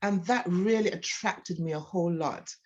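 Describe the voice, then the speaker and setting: A woman with a Jamaican accent speaks in a fairly low register, as an interview guest giving personal, explanatory answers. She talks as a health professional would about their work. The recording is a little muffled and lo-fi. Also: moderate pace. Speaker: physiotherapist guest.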